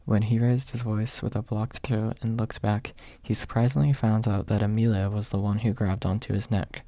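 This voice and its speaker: A man narrating an ASMR audiobook in a hushed, husky voice, close to the microphone. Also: on the low side, little pitch movement, normal speed, no echo. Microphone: close microphone, dry.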